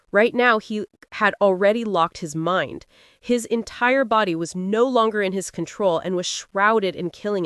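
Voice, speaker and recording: Female audiobook narrator for epic fantasy, lively intonation, dramatic delivery, dry studio-style sound. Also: medium pitch, smooth, no room sound.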